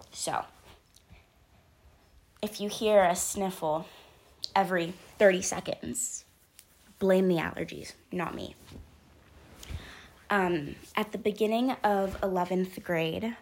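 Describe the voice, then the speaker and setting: A teenage girl with sniffles from allergies, talking openly to her camera in a rambling, casual vlog. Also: lowish voice. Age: late teens. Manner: chatty, rambling, lots of ums and likes. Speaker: vlogger.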